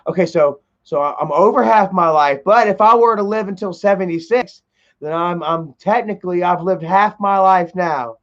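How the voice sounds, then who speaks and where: High-pitched guy in his 30s cussing and rambling on a livestream, dull lo-fi sound. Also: moderate pace, a bit bright. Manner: profane, rambling, lots of fillers and repeats. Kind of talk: casual rambling storytelling on a livestream. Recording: dull lo-fi stream audio.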